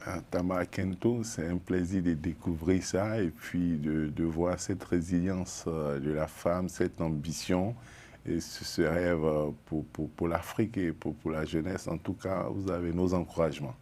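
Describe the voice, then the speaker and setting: A man's voice: low voice, fairly even intonation, measured pace, mellow. A man from Côte d'Ivoire, a journalist guest on a TV panel, talking with frequent hesitations. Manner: hesitant, many fillers and restarts. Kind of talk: TV panel discussion.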